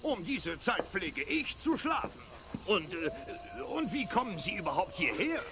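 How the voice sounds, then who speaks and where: An actor playing a fussy, pompous fort general in a children's audio drama, formal and commanding. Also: male voice, high-pitched, big pitch swings, a little slow, a crowd in the background. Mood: puzzled, fussy.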